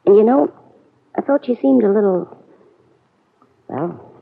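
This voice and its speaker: A woman's voice: medium pitch, expressive, unhurried, gravelly, husky, exaggerated voice. Muffled vintage radio farm woman. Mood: calm, mildly puzzled. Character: Aunt Mary, plain-spoken kindly farm woman. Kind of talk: old-time radio serial acting.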